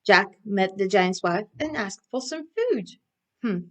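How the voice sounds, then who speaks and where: Slow-paced woman entertaining young children. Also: mid-range voice. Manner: animated, encouraging, addressing young children with questions. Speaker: children's storyteller and craft presenter. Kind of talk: interactive children's storytelling and instruction.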